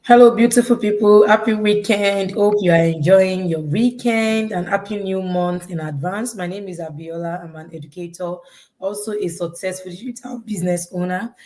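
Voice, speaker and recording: A woman's voice: medium pitch, normal speed, darkish tone. A woman greeting viewers and introducing herself as a co-host on a livestream. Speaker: co-host, educator.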